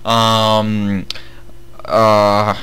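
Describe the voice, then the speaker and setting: A young man with a Bulgarian accent co-hosting a casual gaming news commentary, chatty and halting with many fillers. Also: on the low side, completely flat delivery, a bit bright, clean tone. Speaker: gaming channel co-host.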